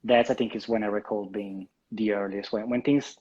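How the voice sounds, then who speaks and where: Husky Italian man on a muffled call. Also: on the low side, steady tone, moderate pace, a little mellow. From Italy. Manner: hesitant, many ums and restarts. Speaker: guest. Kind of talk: casual interview, live stream.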